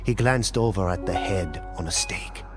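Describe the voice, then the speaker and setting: Male actor as grave war chronicler, deep-set pitch, solemn, theatrical, measured game narration, music clearly behind. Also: natural voice. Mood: somber. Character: grave male storyteller recounting ancient wars. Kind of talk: dramatic scripted storytelling narration.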